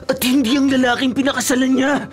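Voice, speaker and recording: A man's voice: extremely high pitch, flat delivery, fast talker. A man playing a lovelorn young college student, pleading and faltering in a melodramatic radio drama. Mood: hurt, protesting. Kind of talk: Filipino radio drama acting.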